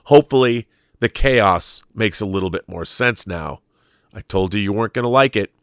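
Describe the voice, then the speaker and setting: An American man hosting a live show, talking conversationally with halting repeats and emphatic stresses as he reads aloud and riffs. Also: on the low side, a bit bright, clear, resonant tone, no room sound, studio quality. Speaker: show host reading viewer questions live. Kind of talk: conversational live-stream commentary, reading aloud and riffing.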